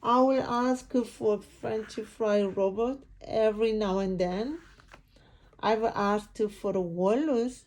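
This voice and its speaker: A woman's voice: fairly high pitch, slow, darkish tone. A woman voicing a dramatic, complaining storybook pigeon with a whiny, emphatic delivery. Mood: boastful grumbling. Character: indignant, dramatic pigeon who complains and pleads. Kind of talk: picture book read-aloud character.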